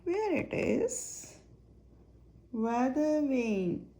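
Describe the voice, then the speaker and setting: A woman reads a picture book for children at a very slow pace. Her voice is fairly high with a creaky edge. She names things singly and poses simple questions to the young listener. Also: very animated, warm tone. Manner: names items one by one, asks simple questions. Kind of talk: read-aloud search-and-find picture book for children.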